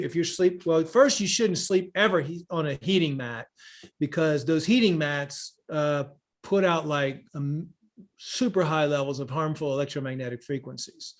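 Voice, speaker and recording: A man informally teaching about wellness on a live online call, rambling a little, repeating phrases and listing examples as he answers. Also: a little high. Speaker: wellness teacher and event leader.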